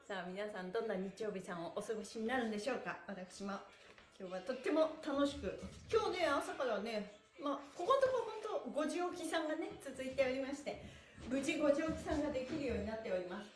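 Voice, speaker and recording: A middle-aged woman teaches exercise with a high, very lively voice. She is upbeat and chatty, speaking politely to viewers with bright exclamations. The sound is roomy, as if recorded in a small bare room a little way from the camera. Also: fairly quick. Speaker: stretching instructor and live-stream host. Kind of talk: cheerful exercise instruction to viewers.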